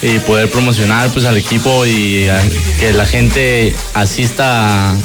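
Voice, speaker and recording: A young man answering as a guest in a casual radio interview, often pausing on filler words. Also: on the low side, fairly even intonation. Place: radio studio booth. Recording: clear music playing behind the voice. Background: music.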